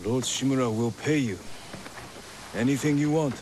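An actor playing a solemn, resolute samurai in a video game, speaking in a measured, formal way. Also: male voice, medium pitch, background noise, close to an everyday voice. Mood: resolute, urging. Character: solemn, resolute samurai warrior seeking allies. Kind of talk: video game drama acting. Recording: cinematic game music under dialogue.

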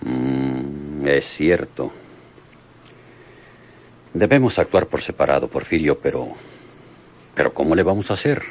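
An actor playing a cautious, grave Mexican police lieutenant in a radio drama, speaking with deliberate authority. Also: male voice, very deep voice, lively intonation, darkish tone, exaggerated voice. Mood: grave, advising.

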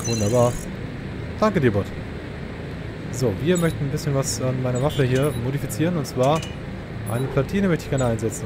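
A man commentates on a video game in a mid-pitched voice. He is casual and sarcastic, often grumbling to himself, with noticeable game rumble behind him. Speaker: let's play host. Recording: clear game noise behind the voice.

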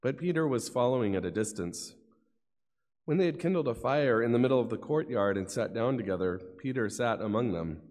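A man's voice: medium pitch, lively intonation, brisk, a little mellow. A middle-aged man solemnly reading scripture as narrator at a lectern. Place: large church, at lectern. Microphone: lectern gooseneck microphone. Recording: long church reverb.

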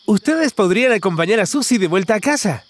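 Man, children's cartoon narrator, high voice, swooping singsong delivery, exaggerated, talks to characters. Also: animated, brisk. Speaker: narrator talking to cartoon vehicles.

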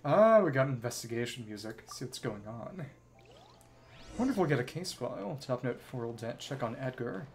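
A man's voice: medium pitch, expressive, moderate pace, soft background music. A man giving casual livestream commentary on a game, thinking aloud in clipped fragments and reading bits of dialogue. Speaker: livestreamer, virtual avatar host.

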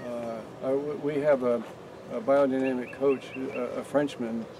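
A man's voice: medium pitch, steady tone, unhurried, noisy background. An older man giving a casual walking tour of his farm outdoors, talking to a group with many restarts and fillers. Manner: rambling, frequent restarts and fillers. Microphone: camera among a standing group.

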